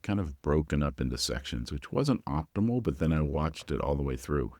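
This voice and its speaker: A man's voice: extremely low pitch, expressive, brisk, darkish tone, dry recording, clean studio sound. A man co-hosting a podcast, speaking casually with a cold, rambling a bit with lots of fillers. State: has a cold. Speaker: podcast co-host. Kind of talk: casual film discussion.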